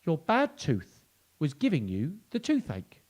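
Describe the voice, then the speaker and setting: A man voicing a small, brave, kindly male mouse in a read-aloud storybook, squeaky and helpful. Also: huge pitch swings, unhurried, a little mellow, heavily acted.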